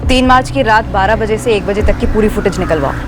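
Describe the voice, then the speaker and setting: A woman playing a brisk, efficient, official-sounding police officer in a TV crime drama. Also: medium pitch, background noise, natural voice. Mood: businesslike.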